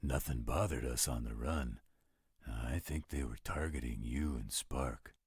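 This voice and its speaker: Guy doing a gruff casual werewolf, rock bottom pitch, really raspy, protective type, audio roleplay. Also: unhurried, a little mellow, no echo, studio quality, full character voice. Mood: blunt, questioning. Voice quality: gravelly, raspy. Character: gruff, protective male werewolf, laid-back with loved ones. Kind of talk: roleplay audio drama acting.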